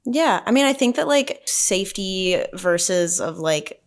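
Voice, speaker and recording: A woman's voice: mid-range voice, lively intonation, measured pace. A woman talking informally in a group discussion. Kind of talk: casual panel discussion.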